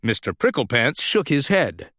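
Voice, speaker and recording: A man narrating a scripted children's story in a dramatic, expressive, deliberate way. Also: medium pitch, breathy edge, no room sound, studio quality.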